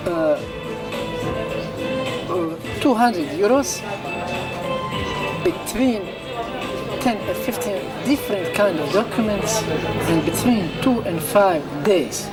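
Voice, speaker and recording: A man with a Bosnian accent giving an earnest interview in non-native English, halting and repeating himself as he searches for words. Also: high voice. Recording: loud music and crowd behind.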